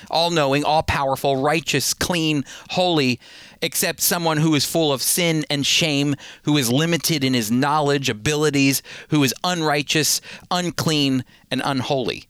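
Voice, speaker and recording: Earnest, bright-voiced male radio Bible teacher. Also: fairly high pitch, normal speed, clear, resonant tone, dry recording, clean studio sound. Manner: earnest conversational preaching with fillers.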